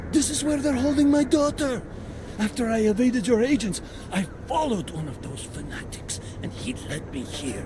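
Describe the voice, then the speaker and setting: A man's voice: extremely high pitch, animated, normal speed. An actor playing a frightened, desperate father in a video game, urgent and agitated. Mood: impatient, urgent. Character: desperate, frightened father searching for his daughter. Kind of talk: cinematic video game acting. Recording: noisy ambience behind the voice.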